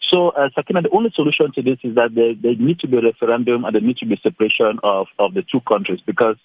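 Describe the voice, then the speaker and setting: A man from Cameroon phones a radio show to give his view. He talks quickly in a husky voice, repeating and restarting phrases, over a thin, dry phone line. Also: medium pitch. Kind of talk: opinion given live on air.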